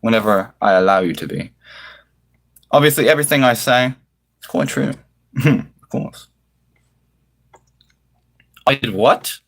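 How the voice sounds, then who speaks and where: A man's voice: mid-range voice, a little slow, long pauses, fairly bright tone. A man chatting casually to livestream viewers in an affected British-style accent, with mock-formal, flowery wording and a theatrical manner.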